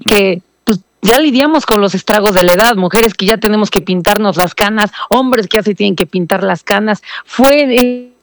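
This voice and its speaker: A woman's voice: medium pitch, normal speed, hardly any pauses, dry recording. A woman, answering interview questions over a remote link, explaining and apologizing. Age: 40s. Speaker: lawmaker interviewed as guest. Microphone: remote call link.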